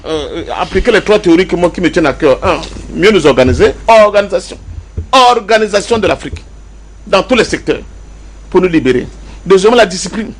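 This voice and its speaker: A man from Cameroon with a Cameroonian accent giving political commentary as a debate panelist, emphatic, repeating key phrases and asking rhetorical questions. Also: sits high. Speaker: panelist in a pan-African debate.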